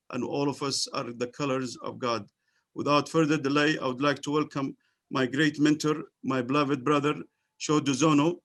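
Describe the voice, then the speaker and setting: Earnest man giving a community speech. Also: a little high. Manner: earnest, repetitive oratory with rhetorical lists. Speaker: community organizer and host. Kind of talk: formal welcoming speech.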